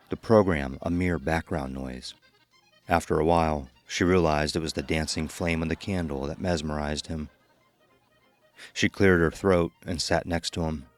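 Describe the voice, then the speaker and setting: Very deep male horror story narrator.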